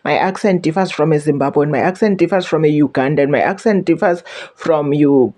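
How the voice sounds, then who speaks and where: A woman's voice: low-pitched, a little fast, mellow. A South African woman talks to her viewers about her travels. She is chatty and stumbles over repeated words. She keeps stopping to warn them. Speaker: vlogger. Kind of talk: casual storytelling to camera.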